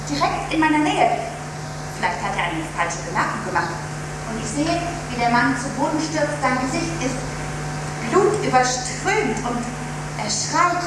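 Female stage role: an enraptured Nazi commandant's wife, slow, halting monologue, very noisy live theatre recording. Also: sits high, big pitch swings, heavy reverb, distant mic. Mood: breathless excitement. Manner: dramatic, halting, swinging from hushed to fervent. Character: aging Nazi camp commandant's wife looking back, enraptured.

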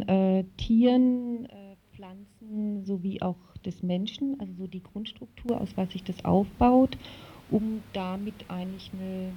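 A dull, lo-fi interview tape carries a woman's voice at a middling pitch. She explains in colloquial language as an interviewee, halting and searching for the right words. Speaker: interviewee knowledgeable on the subject. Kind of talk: informal explanation in a radio interview.

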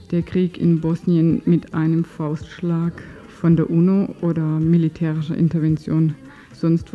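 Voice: mid-range voice, highs cut off. A radio speaker making a spoken announcement about an event.

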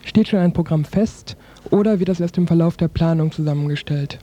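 A male radio interviewer asks questions in a conversational, somewhat tentative manner. His voice sits high for a man yet has a soft, dark tone. It is recorded in a roomy, echoing space with slight noise. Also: brisk, dull audio. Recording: roomy, echoey, faint background noise.

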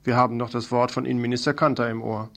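A man's voice: mid-range voice, flat delivery, fast talker, gravelly, a bit bright. A man presenting a radio news programme, reading scripted reports.

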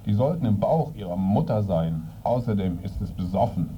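A man's voice: fairly low pitch, expressive, moderate pace, close to an everyday voice. A man playing an officious, disapproving restaurant employee in a comic book radio dramatization. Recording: dull lo-fi with faint noise.

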